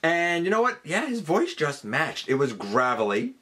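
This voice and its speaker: A man's voice: on the high side, huge pitch swings, moderate pace, bright, forceful tone. A man hosting a countdown with enthusiastic commentary, wordy and theatrical in his emphasis, dropping into conversational asides. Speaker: host of a countdown video.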